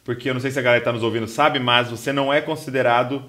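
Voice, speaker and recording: A man's voice: mid-range voice, steady tone, very fast, bright, forceful tone. A man in his thirties from Brazil hosting a podcast, asking curious questions and giving short affirmations, on a studio microphone. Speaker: podcast host. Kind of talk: casual interview. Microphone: studio mic on boom arm, headphones.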